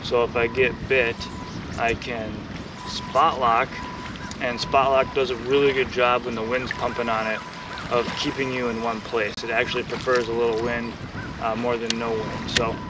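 A young man teaching fishing from a kayak on a lake outdoors, talking in a relaxed way to an action camera. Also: mid-range voice. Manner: relaxed explaining, bursts of excited shouting when fish bite. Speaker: fishing host. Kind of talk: casual on-the-water instruction. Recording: distant mic with wind and water noise.

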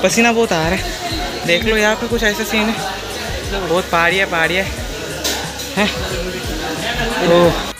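A young man chats straight to his viewers from a hillside trail. He talks casually into a phone camera held in front of him, with loud music and a crowd heard behind him. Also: high voice, a little slow, pauses often. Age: young adult. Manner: chatty, addresses viewers directly. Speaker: vlogger. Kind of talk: casual travel vlog.

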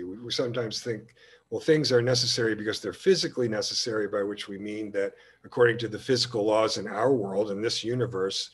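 A man's voice: fairly low pitch, a little hiss or hum. A man giving a recorded slide lecture on philosophy, thinking aloud and often restarting his sentences. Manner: thinking aloud with frequent restarts and repeated words. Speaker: humanities lecturer.

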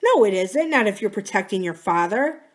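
A woman's voice: medium pitch, big pitch swings, normal speed, lightly acted. A woman voicing a kind, earnest, gullible young male farmer in an audiobook, eager and reassuring. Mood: protective. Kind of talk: audiobook character dialogue.

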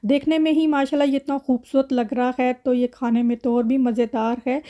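Woman, Pakistani accent, high-pitched, mellow tone, home cooking vlogger, conversational recipe narration. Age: adult mother.

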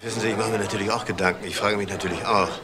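An actor playing a middle-aged married man in a crime drama, self-justifying and articulate, speaking in deliberate phrases with weighty pauses. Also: on the low side, fairly even intonation, crowd noise, natural voice. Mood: earnest, confiding. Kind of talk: German TV crime drama dialogue.

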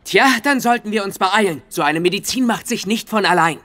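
An actor playing an earnest teenage Viking boy who leads dragon riders, in an animated adventure audio drama. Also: high voice, a little fast. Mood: concerned. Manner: earnest, organizing.

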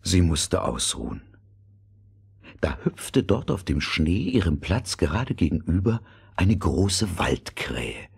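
A man's voice: low voice, very animated, takes it slowly, creaky, breathy edge. A man narrating a fairy tale in a scripted, storytelling style.

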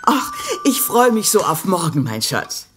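Very animated doting mother. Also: lowish voice, measured pace, over music. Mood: delighted, affectionate. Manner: effusive, affectionate, expressive. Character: doting, excitable mother of a grown daughter. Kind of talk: audio drama acting.

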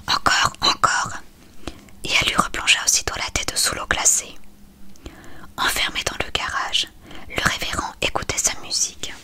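A person reading a novel aloud in a breathy whisper, in scripted narration. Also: normal speed, sharp tone. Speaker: audiobook reader.

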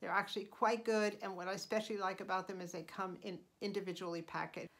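A middle-aged woman hosting her own channel, talking through casual tips in an unhurried, chatty way with frequent ums and uhs. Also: medium pitch, fairly even intonation, a bit bright. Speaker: channel host. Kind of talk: casual vlog voice-over.

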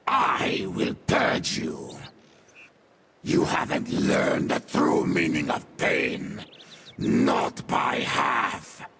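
A man playing a sadistic, vengeful infernal beast warrior in a video game, with a harsh demonic growl and theatrical, deliberate delivery. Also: extremely low pitch, huge pitch swings, gravelly, a bit bright, slightly rough, heavily acted. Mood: sadistic, menacing. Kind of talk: video game character voice lines.

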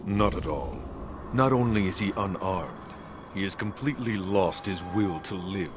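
A scornful guard in a samurai game. The voice is low and dismissive, with clearly audible ambient noise around it. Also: male voice, expressive, a little slow. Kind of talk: video game dialogue acting.

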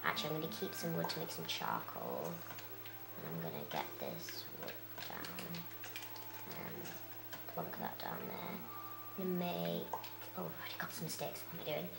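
A young girl, chatting casually with lots of fillers as she plays a video game, her voice croaky. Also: low-pitched, huge pitch swings, normal speed, echoey room, loud music behind the voice, rough recording. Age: child. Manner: chatty, rambling, many fillers. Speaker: let's play host.

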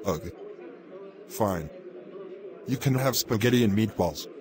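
A man playing a fed-up male crocodile waiter in a homemade skit, blunt and deadpan. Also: on the low side, measured pace.

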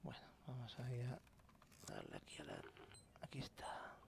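A man's voice: mid-range voice, moderate pace, vocal fry, in a whisper. Swearing man playing a game. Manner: relaxed, muttering, swears often. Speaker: gameplay commentator. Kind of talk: casual gameplay commentary.

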